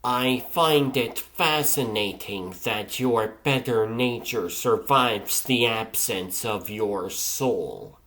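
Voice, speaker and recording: A man's voice: mid-range voice, slow pace, hardly any pauses, a bit bright. A man voicing a cool, clinical female scientist in a comic dub, precise, polite and detached. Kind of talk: comic dub character acting.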